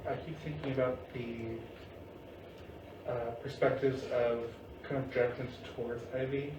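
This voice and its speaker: A man speaks with very little pitch movement, thoughtful and measured in an informal discussion. He is heard from a distance in an echoey room. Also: little pitch movement. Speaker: audience member giving feedback. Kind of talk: informal post-reading discussion. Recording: noisy, echoey room from far off.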